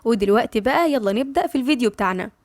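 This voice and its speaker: A woman's voice: on the high side, lively intonation, rapid-fire, dry recording. A young Egyptian woman with a cold, chatting directly to her listeners and narrating a novel on her channel. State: has a cold. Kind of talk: chatty intro then storybook narration.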